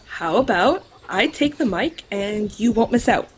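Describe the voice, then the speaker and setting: A woman's voice: medium pitch, expressive, unhurried, a little hiss or hum, lightly acted. A woman playing a loyal, fair-minded and knowledgeable friend in an audio drama. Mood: protective.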